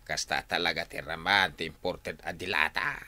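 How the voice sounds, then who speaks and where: Old rural dad in ilocano radio drama, bright sharp voice, ilocano accent. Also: male voice, sits low, fairly even intonation, normal speed, exaggerated voice. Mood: skeptical, teasing. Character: elderly father of a rural household.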